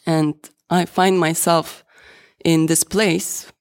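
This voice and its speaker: An architect in their thirties from Turkey, whose native language is Turkish, speaks on a panel with a Turkish accent. Their voice is gravelly, and they talk slowly and haltingly, stopping between phrases. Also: medium pitch, flat delivery. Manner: deliberate, halting. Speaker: architect and artist. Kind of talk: panel discussion.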